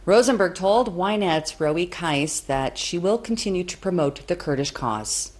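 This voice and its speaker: A woman news anchor reading scripted reports in a measured, formal way. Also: on the low side, expressive, a little mellow.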